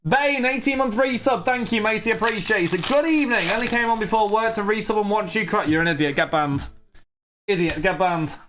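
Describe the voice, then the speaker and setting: A young man in his twenties, talking loosely and chattily as he answers viewers during a live stream. His voice sits a little high for a man, and he rambles from one thought to the next. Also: a little fast, fairly bright tone, slight background noise. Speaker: streamer opening trading cards. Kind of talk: casual live-stream chat.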